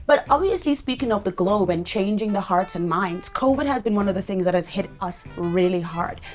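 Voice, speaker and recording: A woman hosting a radio interview, speaking in an upbeat, enthusiastic and animated way. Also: medium pitch, a little fast, soft background music. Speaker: radio host.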